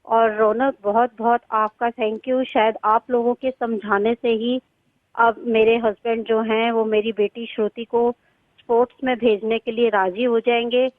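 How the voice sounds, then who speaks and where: A woman's voice: fairly high pitch, flat delivery, moderate pace, no echo. A middle-aged woman on a phone call, polite and grateful. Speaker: caller. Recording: tinny phone line.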